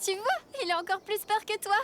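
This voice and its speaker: High-voiced, sensible cartoon animal kid. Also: female voice, a little fast. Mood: gently explaining. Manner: calming, explanatory. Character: reassuring, sensible young animal child, friendly local guide. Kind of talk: children's cartoon dubbing. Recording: loud music behind.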